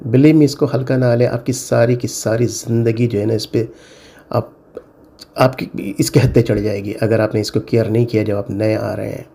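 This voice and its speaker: Middle-aged Pakistani-accented man with gravelly creak. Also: medium pitch, fairly even intonation, normal speed, mellow. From South Asia (Pakistan). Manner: emphatic, repeats warnings, mixes in English phrases. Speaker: cyber security consultant and advice video host. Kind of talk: informal advisory talk to viewers.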